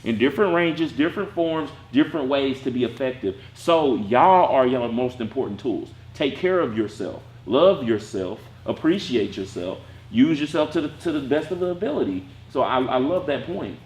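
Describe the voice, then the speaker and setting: A man teaching an acting class, talking casually in a lively way with a strong voice and acting out bits of his stories. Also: mid-range voice, moderate pace, few pauses, roomy sound, rough recording.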